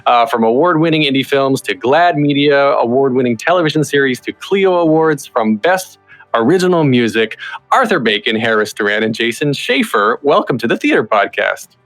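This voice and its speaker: A man hosts an interview podcast in a medium-pitched voice. He sounds enthusiastic and often repeats words for emphasis. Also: animated, moderate pace, a bit bright, soft background music.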